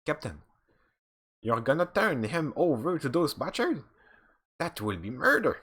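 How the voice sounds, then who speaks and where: A man voicing an outraged male ship engineer with a deliberately bad put-on Irish accent. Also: a little high, big pitch swings, a bit bright, breathy edge, pitched above the performer's own voice, exaggerated voice.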